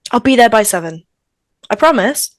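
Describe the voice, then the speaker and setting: A woman playing a sassy, blunt high-school girl in a table read of a slasher script. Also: normal speed, no room sound, studio quality, natural voice. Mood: breezy, caring. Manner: feisty, animated.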